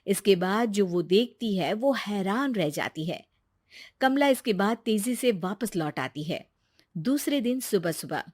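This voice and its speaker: A woman's voice: mid-range voice, expressive, unhurried, dry recording. Woman narrator, dry close sound. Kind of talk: scripted storytelling narration.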